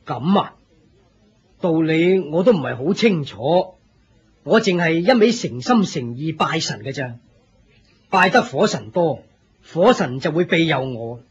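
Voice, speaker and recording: A man's voice: fairly high pitch, lively intonation, measured pace, pauses often, breathy edge, muffled recording, heavily acted. A man with a Hong Kong Cantonese accent playing a friendly, simple, devout senior disciple in a radio drama, explaining things plainly and patiently.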